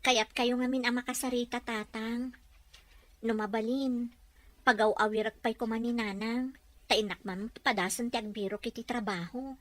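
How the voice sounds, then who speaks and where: A woman's voice: a little high, fairly even intonation, normal speed, a bit bright, no room sound, lightly acted. A woman playing a patient, troubled young wife. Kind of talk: Ilocano audio drama acting.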